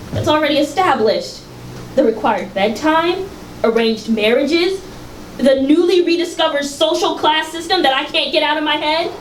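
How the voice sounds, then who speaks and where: Woman acting prim, high-voiced future researcher, echoey room. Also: distant mic, natural voice. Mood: earnest, anxious. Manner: precise, formal, didactic. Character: prim, rule-abiding female researcher of a far-future utopia, anxious. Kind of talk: staged reading of a play. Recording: echoey, noisy live room recording.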